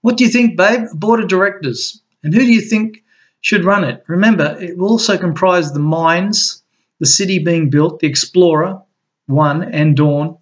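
A man voicing an eager, charming husband who coaxes and flatters his partner. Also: high voice, voice pushed up, natural voice. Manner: enthusiastic, coaxing. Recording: dull lo-fi recording.

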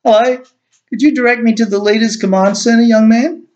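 A man's voice: very high voice, steady tone, brisk, muffled recording, pitched above the performer's own voice. A man voicing a cheeky, unflappable male alien visitor who talks in a breezy, teasing way. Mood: polite. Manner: breezy and teasing, turns forceful at times.

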